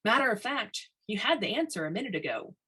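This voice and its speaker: A plain-spoken older man voiced by a woman, the fatherly veteran of a work crew in a science fiction storybook. He is steady, wry and persuasive, with a husky edge. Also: medium pitch, a little fast, full character voice. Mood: coaxing.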